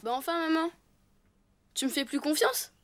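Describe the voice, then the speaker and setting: A woman's voice: high-pitched, sing-song, moderate pace. A woman voicing an eager, cocky little elephant boy in a French cartoon dub. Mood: huffy.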